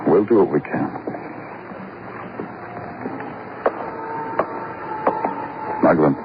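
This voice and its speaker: An actor playing a world-weary, hard-boiled police detective who narrates in a brooding, poetic, deliberate way, in the style of 1950s radio crime drama. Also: male voice, extremely low pitch, noisy background. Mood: subdued, sober. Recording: muffled old radio broadcast, loud music.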